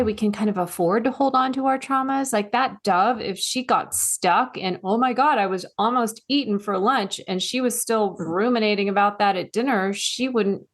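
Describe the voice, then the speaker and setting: A soft-spoken woman speaking as a guest coach in a casual podcast conversation, telling stories with little acted asides. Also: mid-range voice.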